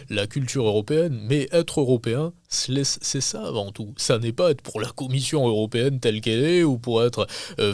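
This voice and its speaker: A man from France, speaking as a guest in a podcast interview, giving measured, emphatic, critical commentary. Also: medium pitch, darkish tone, dry recording. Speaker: guest analyst.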